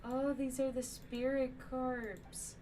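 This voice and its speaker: A woman's voice: sits high, little pitch movement, slow, warm tone. A woman streaming a video game, chatting casually to viewers as she plays. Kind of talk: casual game stream commentary and reading dialogue aloud. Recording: distant mic, faint background noise.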